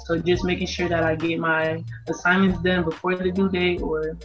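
A woman's voice: on the low side, little pitch movement, moderate pace. A young American woman from Las Vegas giving casual interview answers as a college athlete on a video call. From Las Vegas, United States. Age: young adult, about 18. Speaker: college basketball player being interviewed. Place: indoor room, on video call. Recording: dull video-call audio, music underneath. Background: music.

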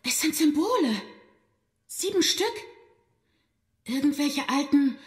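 A woman's voice: high voice, animated, slow, roomy sound. A woman playing a bold young girl who leads her friends in a children's radio play. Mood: puzzled. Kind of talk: German children's audio drama acting.

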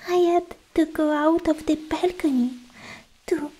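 A young woman playing a sweetly possessive, obsessed alien girlfriend in a whispered, coaxing ASMR roleplay, speaking close to the mic in halting phrases. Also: sits high, steady tone, dark tone, low-quality recording. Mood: mildly reproachful. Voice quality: breathy, mostly whispered. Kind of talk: soft-spoken ASMR roleplay. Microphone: close ASMR microphone.

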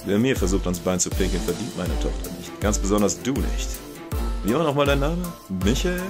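A man's voice: lowish voice, animated, brisk, music in the background, natural voice. A man playing a stern, threatening Italian mafia don and contemptuous father in an audio drama. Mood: contemptuous menace.

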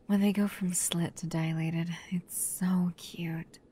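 A woman playing a protective, upset human lover in an ASMR roleplay, stern yet often softly whispery. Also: on the low side, fairly even intonation, slow pace. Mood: soft, affectionate. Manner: soft, breathy near-whispers mixed with stern scolding.

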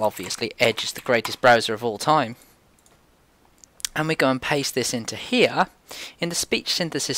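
Man with very animated, swooping pitch. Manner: rambling, conversational explaining with everyday analogies. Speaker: programming tutorial presenter. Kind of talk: informal screen-share software tutorial.

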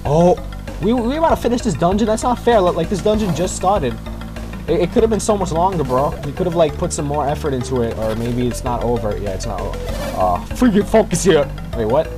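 A man's voice: fairly high pitch, lively intonation, fairly quick, music in the background. A man giving casual, profane game commentary in a slangy, rambling, complaining way. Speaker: gamer making a playthrough video.

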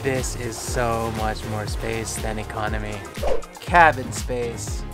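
A man's voice: lowish voice, little pitch movement, slow pace, over loud music. A man in his thirties talking casually and upbeat into a camera held at arm's length from an airplane seat, with many "like" fillers. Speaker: travel vlogger. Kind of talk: casual travel vlog review. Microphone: handheld camera at arm's length.

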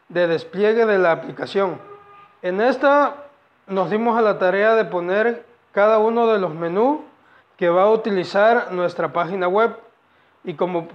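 A young man giving a halting student presentation, explaining project phases and repeating phrases as he goes. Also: sits high. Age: young adult. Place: indoors against a plain wall. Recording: dull lo-fi camera audio.

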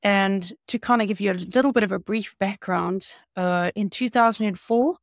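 A middle-aged woman with a South African accent, telling her story as an interview guest over a video call, with many hesitant pauses. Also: medium pitch, dry recording, studio quality. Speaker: guest, patient advocate. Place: home room.

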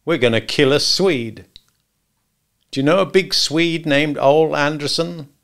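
A man voicing a sardonic gangster hitman who taunts a captive with slow, mocking talk. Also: fairly high pitch, fairly bright tone, voice pushed up.

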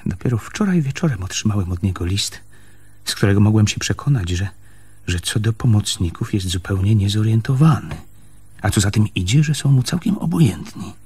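Husky, stubborn, stern lover. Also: male voice, on the low side, animated, a little fast, mellow. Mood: stern, resolute. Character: stubborn, jealous, stern man arguing with his lover. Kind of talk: Polish radio play acting.

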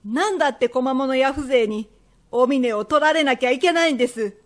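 Actress as protective stepmother of a merchant house, high voice, sharp-tongued, old-fashioned speech, period radio drama. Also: a little fast, lightly acted. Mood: protesting.

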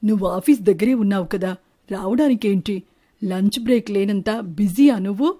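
Wronged husband bird for a cartoon moral tale, played by a woman, mid-pitched, probing and confrontational. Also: moderate pace, mellow, close to an everyday voice. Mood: questioning. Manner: confrontational, questioning. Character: suspicious, wronged male bird husbands and lovers, accusing. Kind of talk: animated Telugu moral-story dialogue.

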